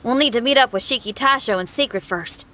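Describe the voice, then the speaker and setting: A woman's voice: fairly high pitch, a little fast, noisy background, lightly acted. An actress playing a spirited, friendly young female street musician in a video game, earnest and folksy. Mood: concerned determined.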